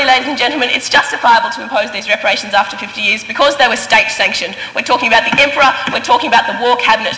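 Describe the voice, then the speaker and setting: A woman from Australia argues her case at a rapid clip, her voice bright and a little high. She speaks earnestly and persuasively, now and then restarting a phrase. The sound rings with the long echo of a large debating chamber. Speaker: competitive debater. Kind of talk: formal debate speech. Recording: echoey hall recording.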